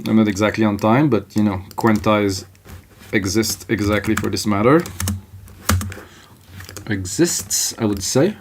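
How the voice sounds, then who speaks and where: A man's voice: lowish voice, unhurried, pauses often, a little hiss or hum. A man in his thirties with a French Canadian accent, French his first language, teaching music production live in a loose, thinking-aloud way. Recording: electronic music playing under the voice. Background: faint electronic music.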